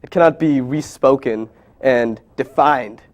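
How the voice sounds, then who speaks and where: A man's voice: mid-range voice, measured pace. A man who grew up in Bangladesh narrating a documentary in an earnest, reflective, scripted way.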